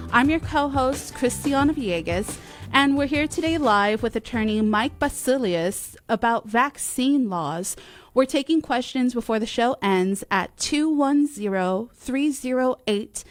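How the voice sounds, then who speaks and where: An American woman presenting a talk radio show as co-host, announcing the guest and phone details. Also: on the high side.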